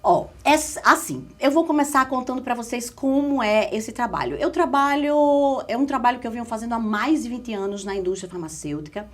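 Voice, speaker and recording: A woman with a drawling Pernambuco accent tells her own story as an interview guest. Her voice sits fairly high and swings widely in pitch as she leans on repeated phrases for emphasis. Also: lively intonation, fairly quick, hardly any pauses. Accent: Pernambuco Brazilian Portuguese. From Caruaru, Pernambuco, Brazil. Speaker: guest, communication specialist.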